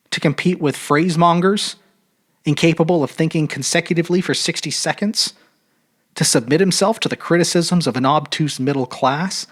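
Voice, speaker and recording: A man narrating a literary short story aloud in an expressive, measured, dramatic audiobook style. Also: a little high. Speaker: storyteller reading fiction aloud.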